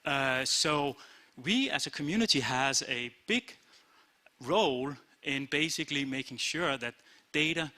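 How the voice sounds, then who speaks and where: A man's voice: mid-range voice, sharp tone. A middle-aged man with a Danish accent, a company CEO giving a short talk at an event, plain-spoken with frequent "uh" and "basically". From Denmark. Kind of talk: short conference speech. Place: event room.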